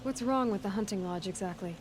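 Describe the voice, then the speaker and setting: An actress playing a curious, self-assured young huntress in a video game, speaking in an understated, conversational way. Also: female voice, medium pitch, fast, background noise, close to an everyday voice. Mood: probing, dry. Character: curious, self-assured young female outlander machine hunter. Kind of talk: video game dialogue acting.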